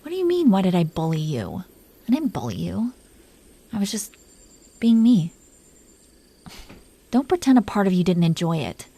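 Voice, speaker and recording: A woman performs a proud dragon queen who is possessive and secretly tender with her lover. Her voice is dark, soft-edged and husky. She teases in a breathy, haughty, lingering way, made for close, intimate roleplay audio. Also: fairly low pitch, very animated, normal speed, long pauses, heavily acted. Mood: proud, defensive. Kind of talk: intimate roleplay audio drama, often whispered.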